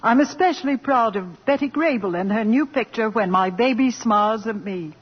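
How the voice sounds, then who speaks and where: A woman in a 1940s radio commercial, gracious and enthusiastic as she reads scripted lines. Her voice is slightly husky and breathy. The broadcast sounds muffled and lo-fi. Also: fairly high pitch, animated, normal speed. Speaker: dramatic coach in a radio commercial.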